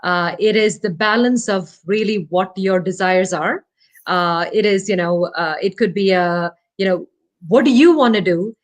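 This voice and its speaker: A woman whose first language is Malayalam, speaking as an interview guest from her home over a remote call. She talks thoughtfully and modestly, pausing and restarting with fillers as she searches for the right words. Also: medium pitch. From India. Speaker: guest, startup CEO. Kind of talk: casual interview talk.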